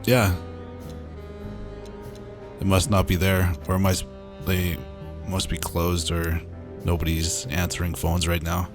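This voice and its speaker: A man's voice: low voice, little pitch movement, slow pace, long pauses. A man giving casual commentary as he plays a video game. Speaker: let's player. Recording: clear game music behind voice. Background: game music.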